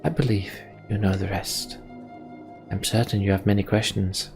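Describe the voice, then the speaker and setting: A man voicing a calm, grave female sorcerer in a fantasy game, telling the story in a measured, deliberate way. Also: on the low side, lightly acted. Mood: solemn, explanatory. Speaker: let's play host reading game dialogue aloud. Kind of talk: voiced reading of fantasy RPG dialogue. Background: game music.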